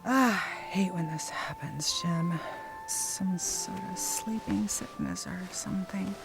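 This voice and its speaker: An actress playing a dry, sarcastic, tired wife who often drops to a whisper. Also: female voice, on the low side, takes it slowly, vocal fry, over music. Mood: wry. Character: wry, weary wife on a road trip. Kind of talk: audio drama acting.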